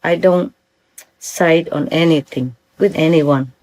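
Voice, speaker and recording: A woman's voice: low voice, takes it slowly, a little mellow, slight background noise. An older woman from Vietnam with a Vietnamese accent, giving an earnest, informal talk as a spiritual teacher and often checking that her listeners follow.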